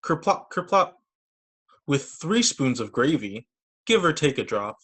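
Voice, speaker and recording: A man reading children's picture books aloud as a story time teacher, in an expressive, sing-song storytelling manner with short asides, over a video call. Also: fairly high pitch, a little slow, slightly rough.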